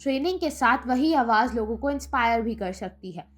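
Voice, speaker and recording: High-pitched woman radio host. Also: animated, brisk. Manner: addresses listeners directly as friends, motivational. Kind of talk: scripted radio career-guidance presentation for students.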